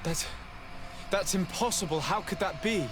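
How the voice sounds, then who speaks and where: An actor playing an earnest, dutiful young noble envoy in a game, speaking sincerely with formal restraint. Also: male voice, sits high, moderate pace, noisy background, natural voice. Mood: disbelief. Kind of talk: game cutscene acting.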